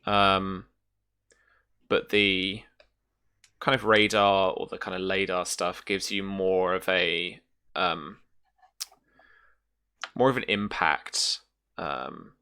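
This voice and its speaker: A man's voice: low voice, fairly even intonation, slow, long pauses, pushed and bright, clear, resonant tone. A man acting as game master, explaining and narrating a tabletop roleplaying game, often hesitating as he thinks aloud.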